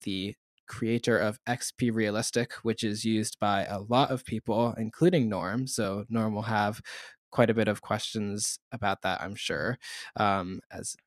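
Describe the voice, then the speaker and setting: A man's voice: lowish voice, fairly even intonation, unhurried, dry recording, clean studio sound. A man co-hosting a podcast, welcoming and introducing a guest in a friendly, casual way. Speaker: podcast co-host.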